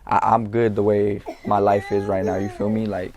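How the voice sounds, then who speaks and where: A young man from California, a guest in an interview, talking in a laid-back, halting way with street slang and lots of fillers. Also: lowish voice, little pitch movement, a little mellow. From Norwalk, California. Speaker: guest, rapper. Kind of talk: casual interview talk.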